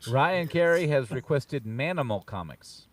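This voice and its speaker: A man's voice: medium pitch, animated, measured pace. Distant-miked man chatting on a panel. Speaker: panelist and streamer. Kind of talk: casual chat.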